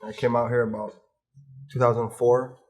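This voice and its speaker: Young man, from Palestine, flat even delivery, laid-back, low-key, barbershop interview. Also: moderate pace, slight background noise. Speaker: hip hop artist guest. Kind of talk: casual interview chat. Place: barbershop, getting a haircut.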